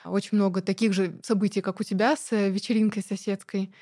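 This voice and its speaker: A woman guest talking in a relaxed interview, chatty and lively, with many fillers and light laughs. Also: medium pitch, fairly quick. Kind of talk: casual conversational interview.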